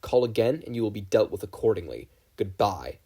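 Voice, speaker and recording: A man voicing a firm, protective young male character with a formal, deadpan manner in a story reading. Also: on the low side, a little fast, breathy edge. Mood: cold, firm.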